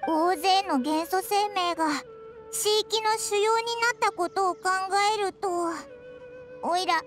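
A woman voicing a small, cheeky, excitable fairy companion in an anime game, with a squeaky childlike voice and blunt boyish speech. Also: measured pace. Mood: sad. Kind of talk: Japanese anime game voice acting. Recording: game music behind.

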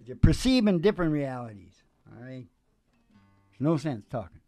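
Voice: medium pitch, sing-song, normal speed, mellow, no room sound. A performer hosting a live acoustic country music show, with guitar in a lo-fi recording. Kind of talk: casual joking stage banter between acoustic country songs.

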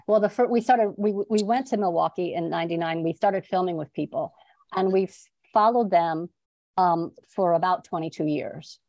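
A middle-aged woman explaining her work conversationally as an interview guest, informal and a little halting. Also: on the low side, darkish tone, no room sound. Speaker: interview guest, documentary filmmaker. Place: plain room at home, indoors. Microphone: wired earbuds on video call.

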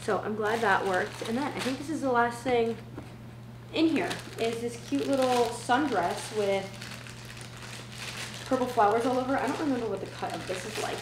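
A woman's voice: a little high, normal speed. A woman in her twenties talking casually to camera in a chatty, slangy way, as in a home vlog. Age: 20s. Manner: chatty, informal, slangy asides. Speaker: vlogger. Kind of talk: casual vlog chat, try-on haul. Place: indoors, open-plan home kitchen and living area. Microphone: camera mic a few feet away. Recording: roomy echo with noticeable background noise.

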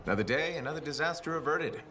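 An actor playing a wry, easygoing freelancer pilot in casual video game dialogue. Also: male voice, fairly high pitch, expressive, fast, close to an everyday voice. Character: easygoing deep-voiced male freelancer pilot, wry hero.

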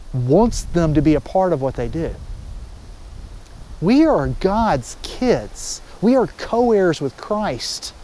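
High-pitched mellow man preaching informally. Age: middle-aged. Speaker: pastor. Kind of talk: informal sermon. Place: outdoors, under trees by water. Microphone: lapel mic. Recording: faint outdoor background noise.

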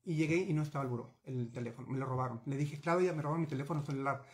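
Un hombre de unos cuarenta años, de México, cuenta por videollamada desde un pequeño despacho una historia personal, de forma animada, gesticulando y con muchos titubeos y frases reiniciadas. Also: male voice, medium pitch, rapid-fire. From Mexico. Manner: animated, gesturing, many restarts and fillers. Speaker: interviewee. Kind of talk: recounting a personal story. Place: small home office with bookshelves. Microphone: video call webcam.

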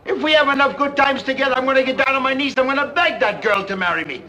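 A man's voice: very high voice, fast, bright, forceful tone, highs cut off. An actor playing a fed-up, lonely, blunt working man in his thirties in a 1950s film drama, speaking emphatically. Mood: defiant, impassioned. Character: fed-up, lonely, plain-spoken heavyset working man standing up to friends. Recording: vintage 1950s film soundtrack.

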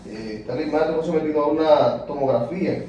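A Dominican man hosts a casual sports talk show in a slightly high, mellow voice, leaning on each phrase with emphasis. He sits away from the mic, and the room around him rings with echo. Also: fairly quick, muffled recording, slight background noise. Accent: Dominican Spanish. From Dominican Republic. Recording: echoey room picked up from a distance.

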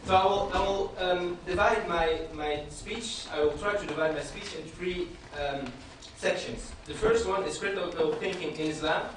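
A man in his thirties, raised in Belgium with Dutch as his first language, giving an earnest, measured lecture from a panel table in a hall, often restarting his phrases. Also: sits high, background noise. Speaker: panelist speaker. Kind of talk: public lecture. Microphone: table microphone.

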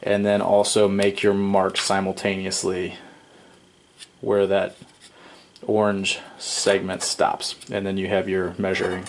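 A man talking through a home plumbing repair step by step at his workbench, relaxed and explanatory, often pausing with "um" and correcting himself. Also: lowish voice, background noise. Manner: relaxed and explanatory, with frequent ums and self-corrections. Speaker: DIY home repair presenter. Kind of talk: casual hands-on tutorial. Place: indoor workshop at a wooden workbench.

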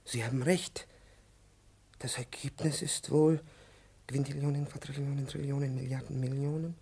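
Stuffy irritable old-school professor, pedantic lecturing, fifties radio drama. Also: male voice, mid-range voice, fairly even intonation, normal speed, gravelly, mellow, slightly rough. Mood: sheepish, puzzled. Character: pompous, irritable male private professor. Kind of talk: 1950s German radio play acting.

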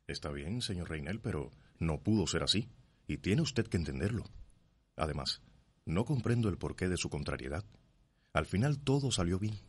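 An actor with a Cuban accent playing a formal, defensive colonial-era doctor in a radio soap opera. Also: male voice, deep voice, expressive, normal speed, a little mellow, slightly rough. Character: restrained, defensive male family doctor of colonial times.

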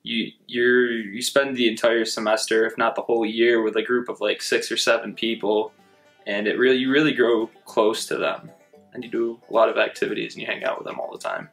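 A young man from the Syracuse area, a college resident assistant, answering interview questions in a relaxed way. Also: medium pitch, fairly quick, vocal fry, soft background music. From Syracuse area, New York. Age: young adult. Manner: relaxed, a few "uh" fillers. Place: indoors before a plain grey backdrop.

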